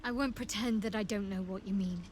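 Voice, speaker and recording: A woman's voice: medium pitch, a little fast, darkish tone, slight background noise, lightly acted. A woman playing a guarded, devout young cleric in a fantasy video game, dry and teasing. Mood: tender, wry. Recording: clear music behind.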